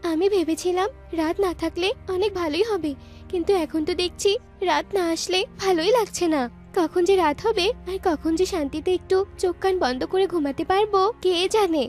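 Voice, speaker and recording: Cartoon village girl, played by a woman: very high, earnest, slightly whiny, over a music bed. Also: a little fast, a little mellow. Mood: weary, complaining. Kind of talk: animated folk-tale cartoon dubbing. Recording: music behind the voice.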